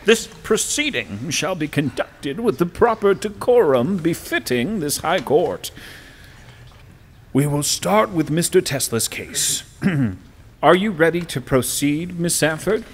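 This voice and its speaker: Stately chief justice played by a man, animated pitch. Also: full character voice. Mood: stern. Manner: formal, measured, authoritative. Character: stern, stately male Chief Justice presiding over court. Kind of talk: courtroom audio drama acting.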